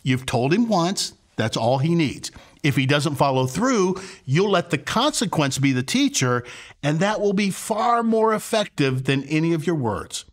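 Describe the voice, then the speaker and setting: Man in his 60s, pastor, conversational sermon, lively swooping pitch, slightly high voice, emphatic delivery. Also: unhurried. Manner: emphatic, rhetorical questions. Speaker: pastor teaching on parenting. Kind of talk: conversational sermon to camera with acted-out examples. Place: furnished living room, indoors.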